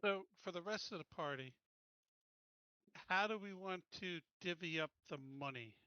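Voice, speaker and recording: Bright, higher-pitched man, direct tabletop player. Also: unhurried, clear, resonant tone, dry recording, highs cut off. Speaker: player in a tabletop roleplaying game. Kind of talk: casual game-planning chat.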